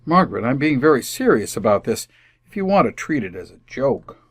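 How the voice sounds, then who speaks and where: A well-meaning, stubborn husband in a radio family comedy. His delivery is earnest and defensive, with lively swings of pitch and a dry, close sound. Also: brisk, slightly rough, dry recording. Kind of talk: old-time radio family comedy acting.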